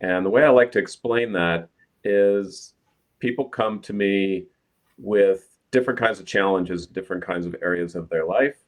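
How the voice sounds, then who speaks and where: A man from the United States, a guest life coach explaining his work calmly on a video call. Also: deep voice, moderate pace. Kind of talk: explanatory chat.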